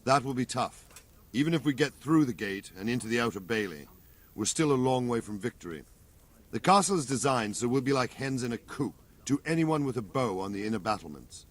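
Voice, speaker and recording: An actor playing a blunt, gruff middle-aged veteran soldier commander in a video game cutscene, speaking in plain earthy soldier's talk. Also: male voice, medium pitch, lively intonation, a little fast, natural voice. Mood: briefing.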